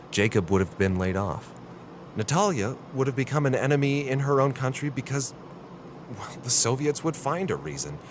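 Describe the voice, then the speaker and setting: A man acting as a dogged American newsman from the late sixties, his voice on the low side. He speaks with halting, earnest intensity in a radio play, with plenty of ambient noise around him. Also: natural voice. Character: earnest, dogged American male reporter in 1969. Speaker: investigative reporter. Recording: clearly noisy ambience behind the voice.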